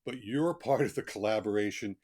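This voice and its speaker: A man with a fairly low voice talks as an interview guest. He is talkative and conversational, reflecting on his experience as an author. His explanations come in plain, informal asides. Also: brisk, a little mellow.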